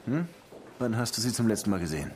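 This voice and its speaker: An actor playing a brooding, world-weary police lieutenant in a radio crime drama, laconic and probing with a melancholy streak. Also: male voice, fairly low pitch, animated, fast talker, a little mellow, slight background noise, close to an everyday voice. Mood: probing, skeptical. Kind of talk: naturalistic radio play acting.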